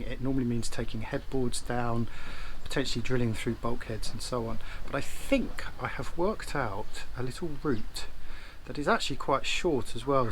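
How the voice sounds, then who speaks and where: A man's voice: medium pitch, fairly bright tone, low-quality recording. A man giving a casual, unscripted update as a sailing vlogger, thinking aloud with hesitations and blunt slang. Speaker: sailing vlogger and boat owner doing repairs. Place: on board a boat. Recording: clear ambient background noise on boat.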